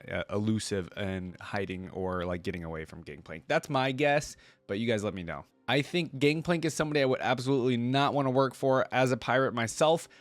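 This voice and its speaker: A man's voice: mid-range voice, no room sound. A man giving casual reaction commentary as a video host, in an informal, rambling way.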